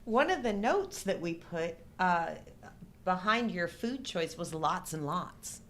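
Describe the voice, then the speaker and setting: A woman's voice: lowish voice, sing-song, slow, a bit bright, a little hiss or hum. A middle-aged woman co-hosting a live show in casual, playful, agreeable banter.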